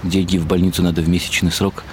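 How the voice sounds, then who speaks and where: An actor playing a world-weary writer who narrates a literary radio drama in a wry, ironic, musing way. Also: male voice, low voice, flat delivery, quick pace, darkish tone, slight background noise, lightly acted. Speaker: narrator and lead character.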